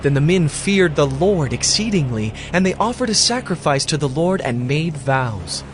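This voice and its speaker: A man narrating a scripture audio drama in an expressive storytelling manner. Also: fairly high pitch, moderate pace, music in the background.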